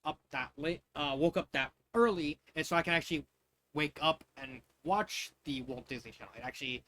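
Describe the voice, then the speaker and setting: A man in his thirties or forties from the United States reading fan fiction with casual, halting, rambling tangents where he repeats phrases. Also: on the high side, sharp tone, slight background noise.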